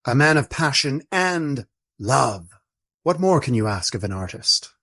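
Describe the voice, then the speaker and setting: A man acting a courteous former baron turned friar in his fifties, speaking gently and formally in a radio play. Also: mid-range voice, very animated, measured pace, exaggerated voice. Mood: wistful, earnest.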